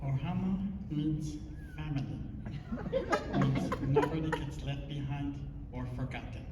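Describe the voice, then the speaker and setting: A small, cheeky cartoon alien creature, acted out by a performer talks in drawn-out, cute phrases. It sounds far from the mic in an echoing hall with heavy noise behind it. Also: mid-range voice, lightly acted. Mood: cute, plaintive. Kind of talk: cartoon character impression for an audience. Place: large indoor convention hall stage. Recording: echoey convention hall, distant, loud noise.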